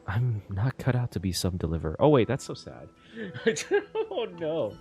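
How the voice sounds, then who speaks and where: A man's voice: lowish voice, sing-song, moderate pace, mellow. A man streaming a game, reacting casually and emotionally to the story, often laughing, trailing off and exclaiming.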